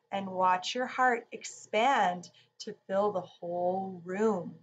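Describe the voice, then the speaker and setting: A woman reads a children's story and guides relaxation gently. Her voice sits at an average pitch and moves slowly and calmly. There is a faint layer of background noise.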